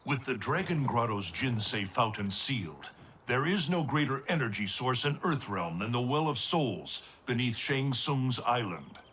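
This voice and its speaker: An actor playing a wise, solemn thunder god who speaks in a measured, formal way in a video game cutscene. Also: male voice, medium pitch, big pitch swings, a bit bright, a little hiss or hum. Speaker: mentor.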